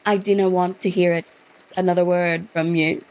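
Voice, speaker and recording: A woman's voice: on the low side, level tone, moderate pace, a little mellow, natural voice, low-quality recording. A woman putting on a scornful Scottish police officer. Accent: Scottish, put on. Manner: curt, scornful, brushes off the witness. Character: skeptical dismissive male Scottish police officer. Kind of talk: reading game dialogue aloud.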